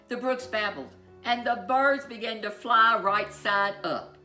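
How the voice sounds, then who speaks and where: Bright, expressive woman telling a children's story. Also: lowish voice, reverberant. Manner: playful, expressive, drawn-out emphasis. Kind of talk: animated scripted children's story narration.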